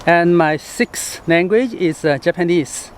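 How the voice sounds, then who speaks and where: A man from Chengdu with a Chinese accent, a travel vlogger talking casually to camera outdoors, halting and full of fillers. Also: a little high, slight background noise. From Chengdu, China. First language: Sichuanese. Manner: chatty, halting, with frequent fillers and laughs. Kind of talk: casual vlog talk to camera. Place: outdoors in the city.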